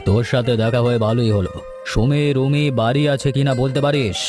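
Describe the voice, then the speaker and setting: A man plays a well-meaning village moneylender in a cartoon tale. He has an ordinary mid-range voice and talks in a measured, reasonable way, with music clearly behind him. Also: mid-range voice, natural voice. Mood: businesslike. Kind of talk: Bengali cartoon story dubbing.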